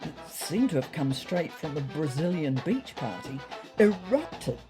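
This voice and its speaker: A woman's voice: sits low, big pitch swings, a little slow, music in the background. A woman with a New Zealand accent reading scripted comic first-person narration as a podcast host, in a wry, dry-humoured way.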